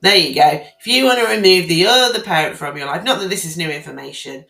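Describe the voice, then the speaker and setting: A woman with a croaky, hoarse voice, speaking casually and emphatically to her viewers about family court matters, often repeating herself and restarting phrases. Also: lowish voice, fast talker, pushed and bright. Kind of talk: casual spoken commentary on guidance to camera. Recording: roomy echo.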